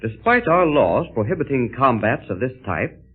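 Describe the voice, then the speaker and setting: Wry cameraman hero in old radio drama, kinda raspy, voice swings a lot, thin tinny sound. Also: medium pitch, very animated, normal speed, soft background music. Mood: reading aloud. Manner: dry, droll, clipped. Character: wry, plucky adult English newsreel cameraman adventurer. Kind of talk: 1930s radio serial acting. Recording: tinny, narrow-band 1930s radio recording.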